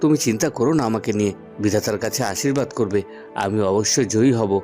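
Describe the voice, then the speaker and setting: Man acting an earnest, halting young prince. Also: on the low side, warm tone, soft background music, lightly acted. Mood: reassuring determination. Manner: halting, repeats words, earnest. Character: earnest young prince devoted to his grandmother.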